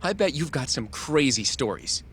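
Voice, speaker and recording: A man's voice: medium pitch, sing-song, normal speed, fairly bright tone, a little hiss or hum. An actor playing a friendly, chatty younger man with an eager, informal manner in a video game dub. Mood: friendly, admiring.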